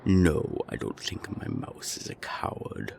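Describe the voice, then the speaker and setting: A man playing a menacing naga gang boss in an audio roleplay, gravelly and deliberate, with cold, taunting threats. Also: animated, background noise, exaggerated voice. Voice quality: very deep, gravelly. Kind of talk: audio roleplay drama acting.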